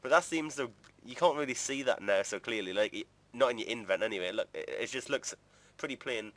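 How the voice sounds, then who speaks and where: A man with a mid-pitched voice records casual gameplay commentary. He talks informally and chattily, rambling from one point to the next as a video creator would. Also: brisk, a bit bright, no room sound.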